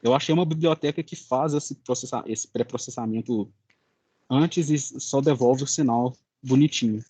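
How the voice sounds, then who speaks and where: A young man with a Brazilian Portuguese accent, giving an informal student presentation in a halting, colloquial way. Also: medium pitch, mellow, breathy edge, dry recording. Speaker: student presenting a final project.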